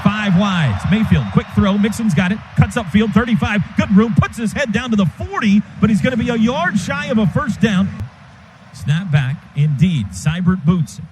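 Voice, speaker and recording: High-pitched American man calling football on radio. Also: normal speed, background noise. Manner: clipped phrases, excited calls. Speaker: radio play-by-play announcer.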